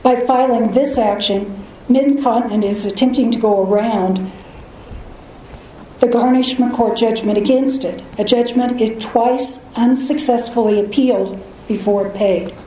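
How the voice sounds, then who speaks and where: A soft-voiced female attorney presenting a measured, methodical oral argument before an appeals court. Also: on the high side. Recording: distant, very echoey courtroom audio, faint noise.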